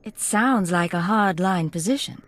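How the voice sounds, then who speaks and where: A woman's voice: lowish voice, measured pace. An actress playing a composed, direct female Imperial secret agent in a video game. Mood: cool, composed.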